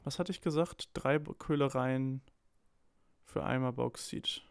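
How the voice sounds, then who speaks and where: Slightly sick, gravelly man gaming. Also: medium pitch, unhurried. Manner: thinks aloud, hesitant restarts. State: slightly ill, a bit hoarse. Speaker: let's play co-host. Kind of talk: casual gameplay chat.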